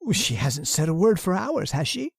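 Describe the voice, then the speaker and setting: A woman acts a meek, frightened husband in a vintage-style radio horror play. The delivery stammers and hesitates, with lively swings in pitch. The sound is dry, with little room around it. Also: moderate pace, gravelly, husky, dry recording, exaggerated voice. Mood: uneasy. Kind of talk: old-time radio horror acting.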